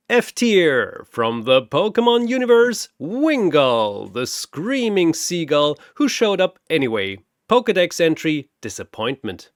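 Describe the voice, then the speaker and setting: A man's voice: fairly high pitch, no echo, studio quality, heavily acted. A man voicing an almighty, gleefully mocking god who announces arena fighters in a grandiose, theatrical showman's style. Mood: teasing, showy. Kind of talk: bombastic showman ring announcing.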